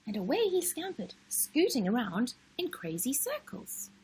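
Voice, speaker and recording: Very husky woman reading slowly, expressively. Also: fairly high pitch, huge pitch swings, mellow, low-quality recording. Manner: dramatic pauses and emphasis for children. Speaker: story-time host and reader. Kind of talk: expressive children's storybook narration.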